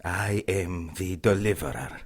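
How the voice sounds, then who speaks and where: An actor playing a sinister, cruel priest who is a cult zealot, speaking ominously in an audio drama. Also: male voice, sits low, husky, exaggerated voice. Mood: cold menace. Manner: slow, ominous, chanting Latin-like phrases. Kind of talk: audio drama villain acting.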